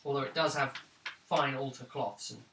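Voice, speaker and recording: A man running a tabletop roleplaying game as game master, describing scenes in a descriptive, slightly halting way. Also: medium pitch, steady tone, creaky, a bit bright, slight background noise. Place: room around a gaming table. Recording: echoey room, mic far off.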